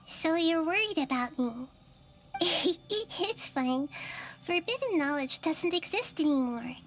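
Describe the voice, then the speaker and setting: A woman voicing a young-sounding wise goddess who explains things in a calm, measured way. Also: sits high, heavily acted. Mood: reassuring.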